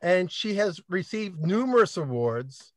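An older man with a notably high voice reads out a guest introduction from his book-lined room at home. His delivery is brisk with a touch of dry humor. The sound is dry and close, with no room echo. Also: big pitch swings. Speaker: event host introducing the speaker. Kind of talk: reading an introduction. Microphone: video call webcam.

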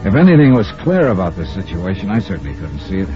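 An American man playing a brisk, wry freelance insurance investigator in a 1950s radio serial, clipped and hard-boiled. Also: sits low, big pitch swings. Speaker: star of a radio detective serial. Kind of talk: 1950s radio acting, first-person narration. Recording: muffled 1950s radio recording, faint music.

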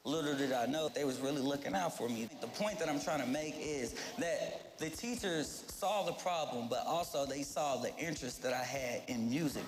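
An American man in his twenties giving informal personal testimony on a panel, colloquial and repeating himself. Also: medium pitch. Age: 20s. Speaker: youth panelist. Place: auditorium stage. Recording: echoey hall, faint noise.

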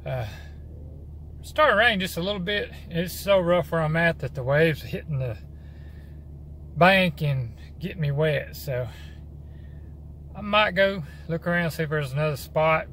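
A man's voice: on the high side, normal speed. A middle-aged man with a Texan accent, chatting casually on a fishing vlog while driving and at a lakeshore. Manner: relaxed, plain-spoken, thinking aloud. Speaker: fishing vlogger. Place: in a car and outdoors at a windy lakeshore. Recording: noisy car and wind background.

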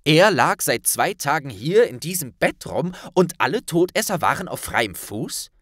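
Guy reading a fantasy audiobook, slow and dramatic, lively pitch, dry close sound. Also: no echo. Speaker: audiobook narrator. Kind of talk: dramatic scripted narration.

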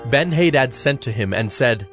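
A man narrating an audio Bible as a scripted, measured storyteller. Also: medium pitch, expressive, fairly bright tone. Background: music.

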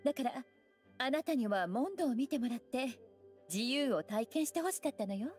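A woman voicing a graceful, teasing young scholar mage in an anime game, with elegant, playful, unhurried phrasing. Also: sits high, animated, a little mellow. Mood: gentle, sincere. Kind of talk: Japanese anime game voice acting. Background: music.

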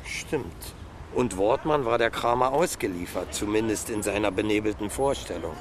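An actor playing a sardonic, chummy police detective in a radio crime drama, talking colloquially and skeptically. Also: male voice, lowish voice, moderate pace, close to an everyday voice. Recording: loud urban street noise.

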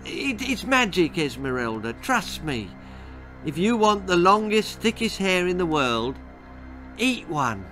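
A man's voice: sits high, very animated, measured pace, pushed and bright, soft background music, voice pushed up, heavily acted. A man voicing an anxious young boy in a children's story, hesitant and pleading as he explains himself. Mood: anxious persuading.

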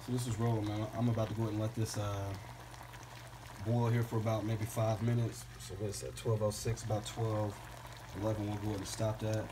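A man in his forties with a fairly low voice chats loosely as he cooks in his home kitchen, sounding slightly congested. He is recorded on a phone camera, with clear background noise around him. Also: little pitch movement, brisk. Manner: laid-back, rambling, says "man" and "bad boy" a lot. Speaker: home cook vlogger. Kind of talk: casual how-to vlog.

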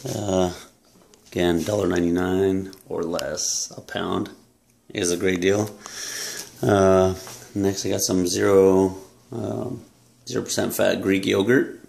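A young man talking casually to the camera about his grocery haul, relaxed and halting, with many ums and uhs, in a small indoor room. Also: low voice, gravelly. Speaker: fitness vlogger. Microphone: camera close up at arm's length.

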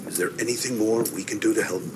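An actor playing a middle-aged frontier priest in a game cutscene, fatherly and wry, with measured folksy speech. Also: lowish voice, fairly even intonation, background noise, close to an everyday voice. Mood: grave, hushed. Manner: measured, folksy, gently preachy. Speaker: reverend. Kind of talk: video game cutscene acting.

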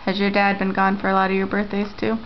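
A woman interviewing family members at home, repeating their answers back and asking gentle questions. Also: mid-range voice, monotone, fast talker, slight background noise. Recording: lo-fi home camera audio.

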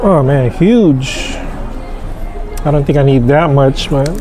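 A man in his thirties reviewing restaurant food in a casual, halting way, full of fillers, on an outdoor patio with a camera on the table. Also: medium pitch, over music. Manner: slow, relaxed, halting with many fillers. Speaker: food vlogger. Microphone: camera on table across from him.

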